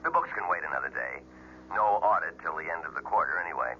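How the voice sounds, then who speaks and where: A man's voice: extremely low pitch, lively intonation, quick pace, slight background noise. An actor playing a kindly, easygoing male office boss, heard through a telephone in a radio drama. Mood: reassuring. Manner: easygoing, reassuring. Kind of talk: 1970s radio drama acting. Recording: lo-fi old radio broadcast.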